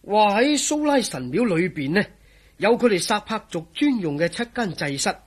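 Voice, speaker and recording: A man playing a scoffing, jovial friend in a radio drama, teasing with drawn-out exclamations. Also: high voice, very animated, slightly rough, heavily acted. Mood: scoffing, amused. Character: scoffing, jovial man, mocking a believer in legends. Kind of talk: Cantonese radio drama acting.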